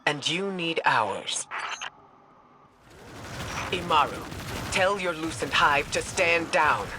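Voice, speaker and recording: An actor playing a wary, serious ally in a video game, earnest and guarded. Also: male voice, on the high side, animated, takes it slowly, over music. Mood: wary, stern. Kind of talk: video game character acting.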